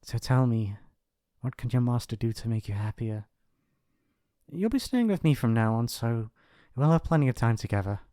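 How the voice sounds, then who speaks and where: A man's voice: medium pitch, animated, moderate pace, warm tone, no room sound, clean studio sound, close to an everyday voice. A man playing a possessive, lovestruck and shy mafia boss's son in an intimate, earnest romantic ASMR roleplay. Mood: possessive, tender.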